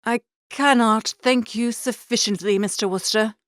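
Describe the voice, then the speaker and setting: A woman's voice: on the high side, steady tone, normal speed, dry recording, clean studio sound, lightly acted. A woman voicing an earnest, mournful young male curate in an audiobook, speaking formally and pleadingly. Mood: solemn grateful. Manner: formal, wordy, pleading speech. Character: earnest, mournful young curate, a man, confessing gambling ruin. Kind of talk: audiobook character reading.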